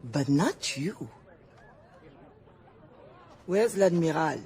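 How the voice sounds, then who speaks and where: A shrewd, secretive madam from a video game drama. She speaks slowly, with wide, swooping intonation. Her manner is teasing, theatrical and worldly. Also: low-pitched, expressive, darkish tone, some chatter behind, full character voice. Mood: wary, threatening.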